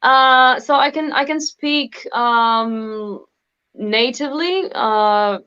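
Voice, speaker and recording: A woman's voice: a little high, slow pace, bright, forceful tone, smooth, lo-fi sound. A woman whose first languages are French and Moroccan Arabic, chatting as a guest singer in a casual live video interview, laughing often and using many fillers. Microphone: video call.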